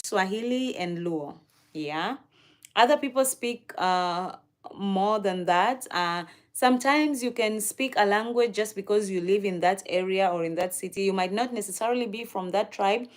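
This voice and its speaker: A Kenyan woman hosting a livestream, chatting casually and answering viewer comments in an unhurried, chatty way. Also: medium pitch. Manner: unhurried, chatty, with fillers and soft laughs. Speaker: livestream host.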